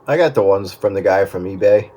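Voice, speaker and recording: A man chats casually over two-way radio as an amateur operator, explaining things in a halting way. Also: sits low, very noisy. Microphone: desk radio microphone.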